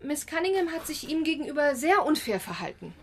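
A young eager actress. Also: female voice, high-pitched, sing-song, brisk. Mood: chatty. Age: young adult. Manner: chatty, gushing, a little nervous. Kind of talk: German radio drama acting.

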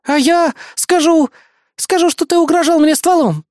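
A narrator acts out a young woman officer in an audiobook, high-voiced and sharp-tongued. She snarls and snaps with scornful indignation. The sound is close and dry. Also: normal speed, no room sound. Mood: threatening.

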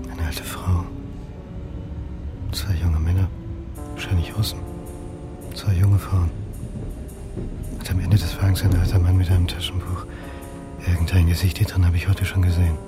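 A man's voice: low-pitched, lightly acted. An actor playing an anxious, self-doubting history lecturer in his forties, in introspective radio drama monologue. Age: 40s.

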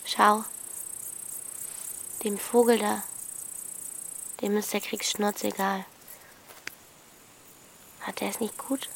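A woman's voice: mid-range voice, flat delivery, slow pace, creaky, pauses often, faint music behind. A woman playing a blunt, bossy thirteen-year-old girl in a naturalistic radio drama. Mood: quiet, brooding. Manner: blunt, colloquial, questioning.

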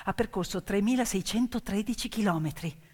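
A woman telling a story theatrically in short emphatic phrases with repetition, moving between hushed and loudly projected delivery. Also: medium pitch, a little fast, husky. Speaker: stage storyteller. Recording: long hall reverb, music behind. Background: music, piano accompaniment.

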